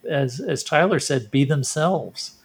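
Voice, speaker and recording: A man's voice: fairly high pitch, a little slow, vocal fry, husky. A man co-hosting a sports podcast, giving casual, reflective baseball commentary and thinking aloud.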